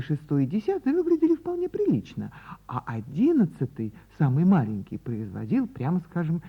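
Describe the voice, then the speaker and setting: A woman reading a literary fairy tale as a theatrical radio storyteller, with dramatic pauses and drawn-out words. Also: on the low side, husky, phone-quality sound.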